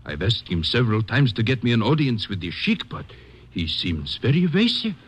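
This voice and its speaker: An actor playing a discouraged former lieutenant of a sheik in a radio drama, speaking formally and earnestly. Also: male voice, medium pitch, wildly swooping pitch, breathy edge. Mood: troubled. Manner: formal, measured, uncontracted speech. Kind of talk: 1950s radio drama acting.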